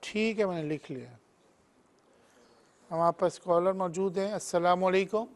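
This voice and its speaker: A middle-aged male hakeem hosts a call-in health show. His voice sits high for a man, and he fires off short routine questions in a brisk way. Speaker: hakeem host answering callers.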